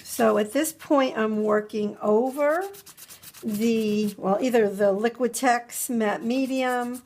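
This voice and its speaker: Slow, chatty woman with a slight cold. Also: fairly high pitch, a little mellow. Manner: chatty, musing aloud, repeats words to herself. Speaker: craft video host. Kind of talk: relaxed crafting tutorial narration. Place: indoor craft desk. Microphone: overhead camera above a desk, hands only.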